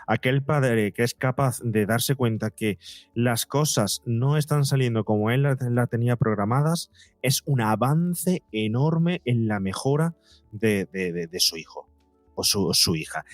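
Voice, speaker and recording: A man's voice: medium pitch, dry recording. Spanish guy from explaining stuff like a psychologist on a podcast, dry sound, faint music. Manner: didactic, acts out parents' questions, rhetorical questions. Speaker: psychologist and podcaster, interview guest. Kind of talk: explanatory conversational interview talk.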